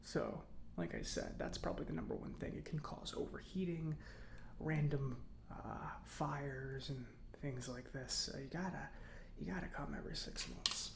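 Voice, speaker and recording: A man acts out a chatty male robot mechanic with firm opinions, in a soft ASMR roleplay. His voice is hushed and breathy with a lot of fry, at a middling pitch. He muses haltingly, as if confiding in a customer, over clearly audible noise. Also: natural voice. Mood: casual advising. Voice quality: soft, breathy, often near whisper. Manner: halting, musing, conversational.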